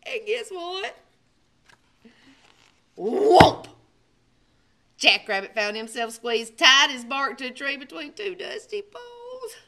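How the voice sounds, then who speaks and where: A woman narrating a children's storybook theatrically, with strong emphasis and hushed dramatic drops. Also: high-pitched, sing-song, slow pace, gravelly, long pauses, very noisy. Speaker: librarian storyteller.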